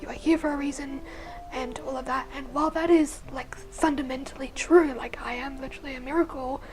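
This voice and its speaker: Young woman, husky hoarse voice, kinda high, goes soft and whispery a lot, music playing under it. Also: fairly even intonation, a little fast. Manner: soft, often drops to a breathy near-whisper; rambling, with many fillers like "like" and "you know". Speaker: vlogger sharing her life story. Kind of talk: casual personal storytelling.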